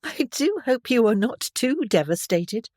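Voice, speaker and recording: An impulsive young noblewoman of seventeen, as a woman reader puts her on, chattering eagerly in a bright, girlish voice that sits higher than the narration. The recording is dry and close, with no room sound. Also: sing-song, a little slow, dry recording. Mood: polite. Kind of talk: audiobook character voice.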